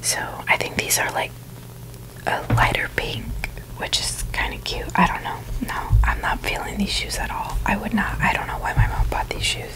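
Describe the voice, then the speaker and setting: A woman's voice: moderate pace, low-quality recording. A woman giving a casual, chatty ASMR product review in a whisper, informal and opinionated as she rambles along. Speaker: ASMR video creator.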